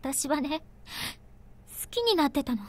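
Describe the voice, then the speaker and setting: High-voiced, earnest young anime woman. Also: sing-song, fairly quick, a little mellow. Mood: hurt. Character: earnest young woman, tender, quick to hurt and anger. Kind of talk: Japanese visual novel voice acting.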